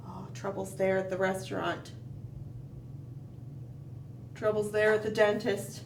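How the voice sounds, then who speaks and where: A woman hosting a children's storytime, reading a picture book aloud expressively, with dramatic pauses and questioning asides to young listeners. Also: mid-range voice, level tone. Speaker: children's library storytime host. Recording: roomy, distant mic, faint background noise.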